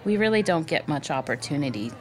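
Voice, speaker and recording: A woman's voice: low voice, expressive, quick pace, a faint crowd. A woman playing a friendly, eager young adventurer with a casual, upbeat manner in a fantasy audio drama. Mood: friendly, relieved.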